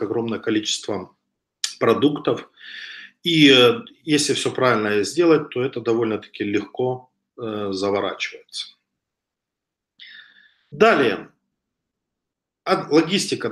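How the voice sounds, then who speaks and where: A man in his thirties giving a webcam lecture over slides, talking casually with frequent hesitations and a slight cough from a cold. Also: mid-range voice. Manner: conversational, with frequent hesitations and restarts. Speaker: online business instructor. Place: home room with wooden shelves. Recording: echoey room on a webcam.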